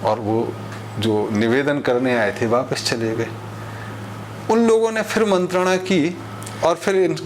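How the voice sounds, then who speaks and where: A man delivering an expository monologue in a didactic, deliberate way, using rhetorical repetition. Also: mid-range voice, a little hiss or hum. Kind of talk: expository monologue on social issues with parables.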